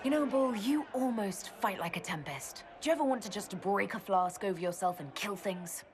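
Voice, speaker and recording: A woman's voice: medium pitch, moderate pace, a crowd in the background, lightly acted. An actress playing a curious, dryly witty woman leader who talks in a chatty, lightly teasing way.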